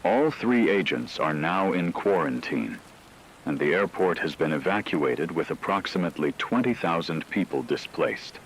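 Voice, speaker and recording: Deep-voiced male news anchor played by a man. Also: fairly bright tone, slight background noise, rough recording. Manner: formal, measured, clinical. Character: grave male radio news anchor in zombie apocalypse. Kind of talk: scripted breaking news radio report.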